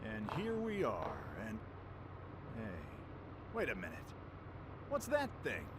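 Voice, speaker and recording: A man's voice: on the low side, huge pitch swings, slow pace, heavy background noise, exaggerated voice. A man playing a blunt, hot-headed young leader in a radio drama, given to exclamations. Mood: puzzled, annoyed. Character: brash, hot-headed young male leader, teasing and protective. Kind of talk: fan radio drama acting.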